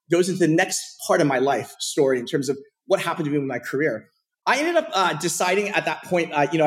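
Fast-talking man telling his career story. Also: a little high. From Portland, Oregon. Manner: rapid, animated storytelling with frequent fillers. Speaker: podcast guest. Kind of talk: casual interview storytelling.

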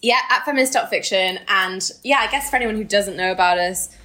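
A woman's voice: medium pitch, a little fast, background noise. A British woman co-hosting a podcast in a casual, chatty and informal way, with a giggly streak. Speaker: podcast co-host.

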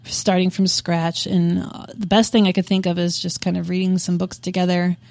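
A woman in her thirties speaks on a podcast in a somewhat low voice, frank and salty, full of "like" and "um". The sound is dry and close, with no room around it. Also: level tone, normal speed, creaky, a little mellow, no room sound. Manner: frank and profane, with frequent fillers. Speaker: podcast guest. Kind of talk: candid casual interview chat.

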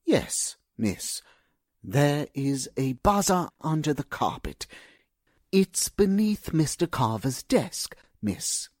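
Woman playing a very deep, slow butler. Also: big pitch swings, a little mellow, no room sound, clean studio sound, exaggerated voice. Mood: obsequious, measured. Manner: formal, servile, unhurried. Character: smooth, sneaky, deferential male household butler. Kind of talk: radio drama acting.

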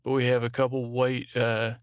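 A man's voice: medium pitch, completely flat delivery, moderate pace, clear, resonant tone, dry recording. A man hosting a bedtime podcast, talking in a droning, meandering monologue with hesitant false starts. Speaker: podcast host.

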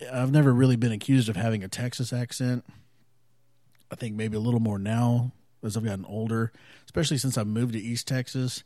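Relaxed male podcast host at home, rambling with fillers over faint background music. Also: fairly low pitch, no room sound. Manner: laid-back and rambling, with frequent fillers and chuckles. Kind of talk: casual solo podcast chat. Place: at home by an open window.

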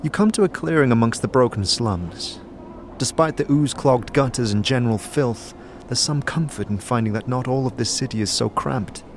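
A man's voice: mid-range voice, moderate pace, slight background noise. A man narrating a fantasy audio drama in dramatic, scripted second person, as a storyteller. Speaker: narrator, game master. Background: music bed.

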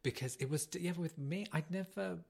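A British man co-hosting a podcast in casual chat, talking in a chatty, animated, self-deprecating way. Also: fairly high pitch, moderate pace, gravelly, husky. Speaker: podcast co-host.

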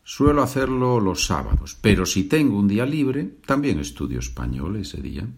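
Low-pitched, very animated Spanish teacher, man. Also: vocal fry, slightly rough. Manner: didactic, deliberate, repeats sentences. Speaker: Spanish teacher, host of a listening exercise. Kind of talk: language lesson, reading numbered practice sentences.

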